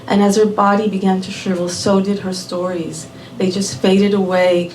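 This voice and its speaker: A woman raised in Miami telling a personal story live at a small venue, unhurried and measured, dropping in Spanish words. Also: medium pitch, little pitch movement, a little mellow, a little hiss or hum. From born in Puerto Rico, raised in Miami. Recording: roomy venue audio from a distant mic.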